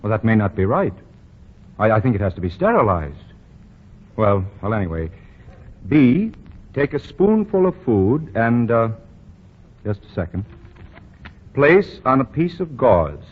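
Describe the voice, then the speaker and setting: Fussy know-it-all boss, stammering, husky, dull vintage broadcast audio. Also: male voice, fairly low pitch, big pitch swings, unhurried, long pauses. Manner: pompous, stammering, chuckling. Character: fussy self-assured young male store boss. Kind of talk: 1940s radio acting. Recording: dull 1940 radio broadcast.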